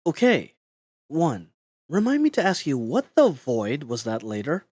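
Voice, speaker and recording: An earnest gentleman adventurer played by a man speaks in a fairly high, lively voice. His phrasing is formal, cautious and theatrical, as in a fantasy audio roleplay. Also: a little slow, no echo, exaggerated voice. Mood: puzzled, wary.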